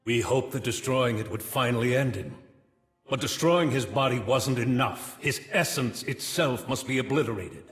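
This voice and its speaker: A man's voice: mid-range voice, normal speed, a bit bright, lightly acted. Man playing echoing, solemn warrior spirit. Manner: solemn, portentous. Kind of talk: dramatic game acting. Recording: echoing, roomy voice.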